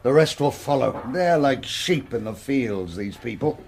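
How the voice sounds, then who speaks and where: An actor playing a proud, hostile industrialist landowner in a period radio drama, hectoring and contemptuous. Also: male voice, medium pitch, expressive, a little slow, creaky, a little mellow, a little hiss or hum. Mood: scornful. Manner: hectoring, scornful.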